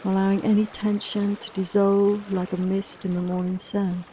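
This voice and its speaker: A woman leading a guided relaxation meditation in a soft, soothing, unhurried, reassuring manner, with long pauses between phrases. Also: medium pitch, level tone, slight background noise, low-quality recording. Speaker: guided meditation and yoga nidra teacher.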